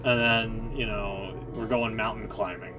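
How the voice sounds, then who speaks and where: A man's voice: fairly low pitch, steady tone, a little slow, over music, low-quality recording. A man giving casual reaction commentary as a game streamer.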